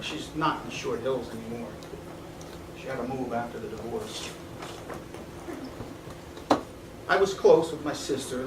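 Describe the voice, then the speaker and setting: Weary middle-aged man played by a male actor onstage, halting and regretful, echoey theater, far from the mic. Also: medium pitch, noisy background, natural voice. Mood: resigned. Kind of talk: live stage play acting. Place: small theatre stage, indoors. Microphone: far camera.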